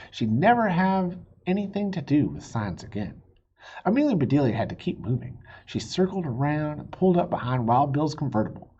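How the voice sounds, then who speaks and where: A man reading a children's story aloud in a playful, expressive way, with a husky voice. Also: a little high, muffled recording. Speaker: storybook reader.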